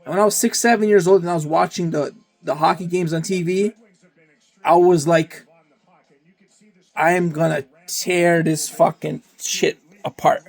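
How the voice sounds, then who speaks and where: A man's voice: on the high side, fairly even intonation, measured pace, gravelly. A young man from Canada, talking in a rambling, profane monologue and repeating himself often. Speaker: vlogger. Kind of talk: casual monologue.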